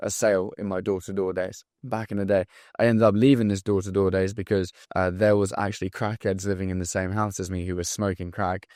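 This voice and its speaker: A man in his twenties from England with a southern English accent, talking straight to camera as a sales coach in a blunt, profane, hyped-up motivational pitch. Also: flat delivery, a little fast, darkish tone, no room sound, studio quality. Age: 20s. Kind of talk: direct-to-camera pitch video.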